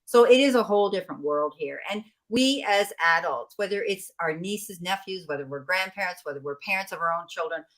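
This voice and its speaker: A middle-aged woman hosting a podcast, with a slightly low voice. She talks in a chatty, conversational way and keeps affirming what her guest says. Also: animated, rough recording. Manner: chatty, agreeable, quick to affirm. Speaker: podcast host. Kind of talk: podcast interview.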